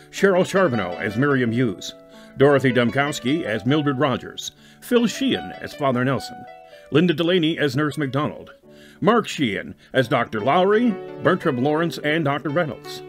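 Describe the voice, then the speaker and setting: Casting: male storyteller for radio drama, mid-range pitch, scripted narration with light music underneath. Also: natural voice.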